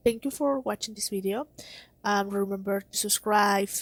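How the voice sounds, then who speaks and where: A woman presenting a casual how-to screen tutorial in a slightly halting, improvised way, recorded as a close voice-over. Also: medium pitch.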